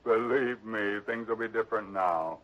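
An actor playing a blunt, self-assured business partner in a 1960s radio drama. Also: male voice, fairly low pitch, normal speed, close to an everyday voice. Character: blunt middle-aged businessman, dead man's partner. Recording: dull lo-fi old radio broadcast.

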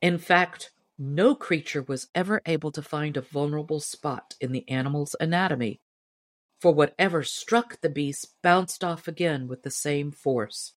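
A woman narrating a storybook tall tale in a measured, expressive storytelling manner. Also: deep voice, no echo, clean studio sound. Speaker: audiobook reader and storyteller.

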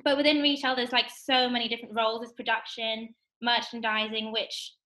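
A woman's voice: on the high side, level tone. A young woman in her twenties, a guest explaining her job in a casual chat with lots of "kind of" and "like". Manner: bright, quick, lots of fillers like "kind of" and "like". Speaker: guest, assistant buyer. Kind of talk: casual interview.

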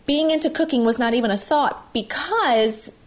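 Loud, outspoken woman from Italy. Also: high-pitched, normal speed. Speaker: guest, cooking video host. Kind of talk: candid interview storytelling.

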